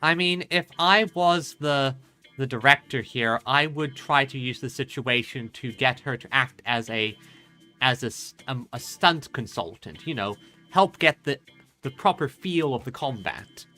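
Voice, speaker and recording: A man's voice: mid-range voice, animated, measured pace, sharp tone, faint music behind. A man giving casual commentary as he plays through a game, talking off the cuff. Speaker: streamer playing and commenting on a game.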